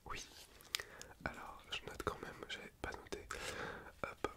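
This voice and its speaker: Whispering man in an ASMR nurse roleplay. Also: a little slow. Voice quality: soft unvoiced whisper. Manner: gentle, reassuring, chatty and rambling. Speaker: ASMR creator playing a school nurse.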